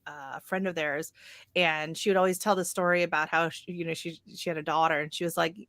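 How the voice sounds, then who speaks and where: Bright-voiced American woman chatting casually. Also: deep voice, fairly even intonation, brisk, dry recording, studio quality. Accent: American with a slight Canadian lilt. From Oregon, USA. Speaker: livestream host. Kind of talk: casual livestream chat.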